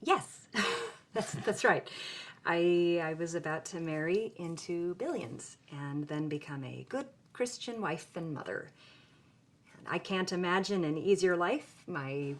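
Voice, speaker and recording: An outspoken young woman being interviewed in an audio drama. Her voice is fairly low, and she tells her story in a wry, conversational way. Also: measured pace. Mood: wry, candid. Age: young adult. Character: frank young woman, film grad student telling her story.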